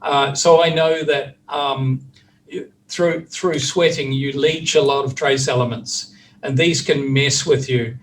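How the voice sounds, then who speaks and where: A man's voice: medium pitch, steady tone, unhurried, distant mic, a little hiss or hum, poor audio. A man with a New Zealand accent, chatting casually on a video call as a recovery specialist, with lots of fillers and restarts.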